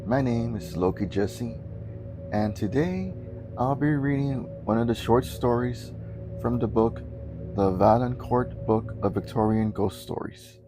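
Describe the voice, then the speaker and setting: A man reading a Victorian ghost story aloud as scripted narration, deliberate and theatrical. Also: mid-range voice, over music. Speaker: guest story reader.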